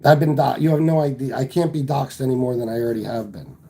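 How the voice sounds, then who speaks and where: A man hosts a casual live stream from home and fires back at commenters with sarcasm and plenty of swearing. His voice is dark and mellow at a middle pitch. A faint hum sits behind him. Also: quick pace, vocal fry. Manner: combative, profane, sarcastic. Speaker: livestream host reading chat.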